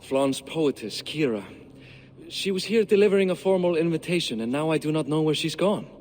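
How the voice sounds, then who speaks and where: A man's voice: on the high side, expressive, a little fast, a little mellow, long reverb tail, slight background noise, natural voice. An actor playing an anxious nobleman with a formal, fretful way of speaking.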